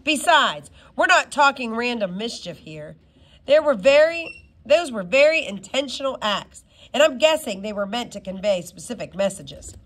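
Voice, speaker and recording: Storybook fake cop, male, played by a woman: wary, reluctant, questioning, pitched high. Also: very animated, normal speed, a bit bright. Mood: guarded curiosity. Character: cautious, reluctant man posing as a police officer.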